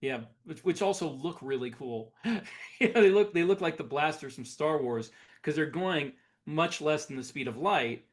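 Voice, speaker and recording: Man, slightly high-pitched, podcast co-host, casual conversation, informal explanations with self-corrections. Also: expressive, normal speed. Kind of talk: casual chat.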